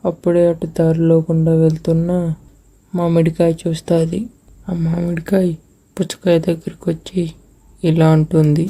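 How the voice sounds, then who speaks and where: Husky, low-voiced woman narrator. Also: monotone, normal speed, mellow. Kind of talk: scripted children's story narration.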